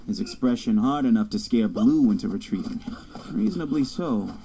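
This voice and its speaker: A man's voice: medium pitch, expressive, moderate pace, creaky, dark tone, a little hiss or hum. An actor playing a proud young college quarterback who narrates his own story in an audio drama. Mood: wry. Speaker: narrator and lead character.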